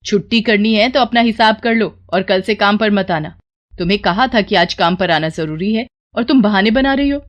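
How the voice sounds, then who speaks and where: A woman plays a rich household employer in a moral tale. She is strict and impatient, giving curt orders at a middling pitch. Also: moderate pace, highs cut off, natural voice. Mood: stern. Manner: curt, commanding. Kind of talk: moral story character voicing.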